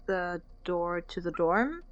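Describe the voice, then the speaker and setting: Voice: medium pitch, animated, slow, muffled recording. A performer playing a breezy, casual young male college roommate in an audio drama, offhand and easygoing. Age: young adult.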